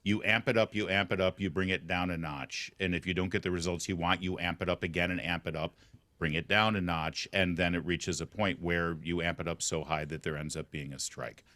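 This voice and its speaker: A man co-hosting a political talk show, talking in an animated way with quick restarts and repeated words. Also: low voice, dry recording. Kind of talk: casual political chat.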